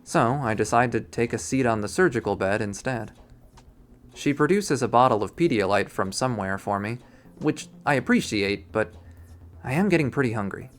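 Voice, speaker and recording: A man reading a visual novel aloud in expressive first-person narration. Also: medium pitch, moderate pace. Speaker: visual novel readthrough player.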